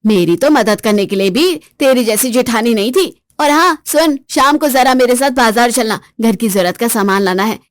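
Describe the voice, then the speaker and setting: A woman's voice: fairly high pitch, expressive, normal speed, hardly any pauses, dry recording, clean studio sound, close to an everyday voice. A woman voicing a stern, bossy older mother-in-law in a spoken story, scolding bluntly.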